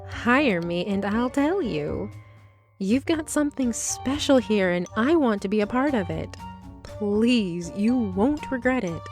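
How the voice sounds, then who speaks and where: A woman's voice: medium pitch, expressive, measured pace, a little mellow, soft background music. An actress playing a shrewd, determined female lab assistant in a fantasy audio drama, assertive, persuasive and businesslike. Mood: pleading, persuasive. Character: shrewd, determined woman lab assistant, street-smart schemer.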